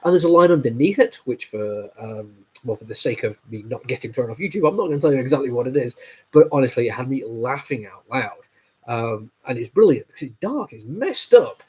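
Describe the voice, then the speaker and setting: A man with a heavy cold talks through a comic book review, his voice nasal and a little husky. He rambles casually, full of ums and apologies, at an ordinary middle pitch. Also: expressive, brisk, darkish tone, poor audio. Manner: rambling, with many fillers and apologies. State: stuffy nose from a cold.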